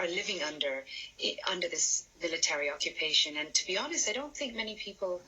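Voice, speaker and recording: A woman's voice: lowish voice, bright, forceful tone, slightly rough, dull audio. A woman film director answering interview questions in an earnest, emphatic manner.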